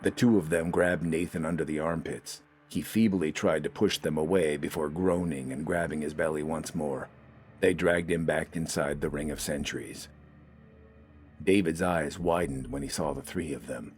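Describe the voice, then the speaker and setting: A man's voice: extremely low pitch, fairly even intonation, moderate pace, a little mellow, a little hiss or hum. A man reading scripted, dramatic fiction as an audiobook narrator.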